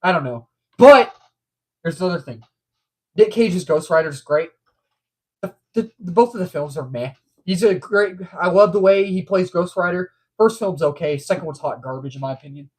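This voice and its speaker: Guy on a movie livestream, stuffy nose, kinda husky voice, rambles and repeats stuff. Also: high voice, moderate pace, a little mellow. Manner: rambling, repeats himself, frequent ums. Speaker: movie news livestream host. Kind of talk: casual livestream chat.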